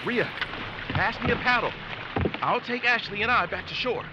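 A man's voice: high voice, lively intonation, moderate pace, highs cut off. An actor playing a protective, desperate young man in an audio drama, pleading urgently and reassuring. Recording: very noisy outdoor water ambience.

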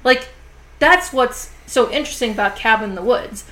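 Woman podcast host, kinda high voice, says like a lot, jaw's sore so she goes a bit slow. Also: fairly bright tone. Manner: chatty, many "like" and "you know" fillers. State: sore jaw, talking a bit slowly. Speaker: podcast host reviewing horror films. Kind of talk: casual conversational film review.

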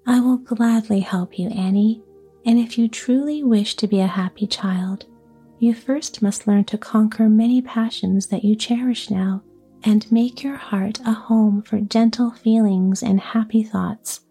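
Woman whispering as a gentle little fairy. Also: on the high side, steady tone, a little slow, husky, faint music behind. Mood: tender. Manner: soft whisper.

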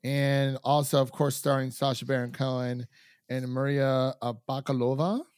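A man's voice: mid-range voice, little pitch movement, moderate pace, a little mellow, clear, resonant tone, no echo, clean studio sound. A man hosting a film review podcast, chatting in an informal, enthusiastic, rambling way. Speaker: podcast host. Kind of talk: casual film review chat.

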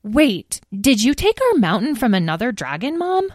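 A woman puts on a curious young male dragon from a storybook podcast, earnest and full of questions. His voice sits a little higher than her own, and the dry, close read keeps it intimate. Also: very animated, moderate pace, no echo.

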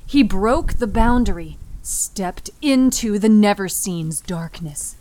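A woman narrates a horror story very slowly and gravely, at a medium pitch. Her delivery is dramatic and deliberate, with breathy emphasis that often sinks almost to a whisper. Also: expressive, mellow, low-quality recording.

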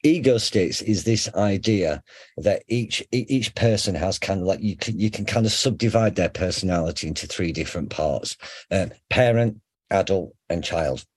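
A middle-aged man with a faint Sheffield accent talks through ideas in a relaxed, conversational way. His voice sits very low and has a husky edge. The sound is dry and close, with no room around it. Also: normal speed, dry recording. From England. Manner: conversational, explains with examples, frequent fillers and restarts. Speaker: psychotherapist guest. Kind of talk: casual explanatory interview.

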